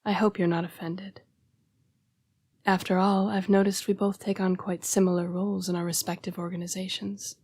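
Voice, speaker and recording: Woman acting a hushed, breathy vampire lady. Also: mid-range voice, flat delivery, no echo, natural voice. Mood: apologetic, formal. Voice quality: breathy, hushed, often dropping to a whisper. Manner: formal, polite, measured phrasing. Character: young-seeming female vampire, formal, apologetic, protective, urgent. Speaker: vampire council's correspondence assistant. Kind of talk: intimate audio roleplay monologue.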